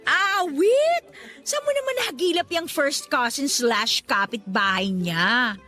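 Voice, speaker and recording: A woman playing an excitable young coworker hungry for gossip in a radio drama, eager and squealing, with music underneath. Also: sits high, slow, full character voice. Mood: excited.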